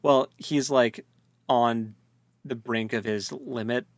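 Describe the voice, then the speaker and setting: Guy podcast cohost, informal slangy book chat, mid pitch, jokey, really dry close sound. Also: steady tone, slow pace, dry recording. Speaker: podcast co-host. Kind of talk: casual book discussion chat.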